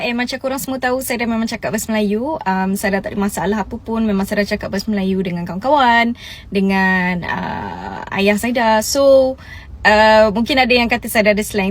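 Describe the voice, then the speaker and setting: A woman raised in Switzerland, introducing herself casually to camera. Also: on the high side.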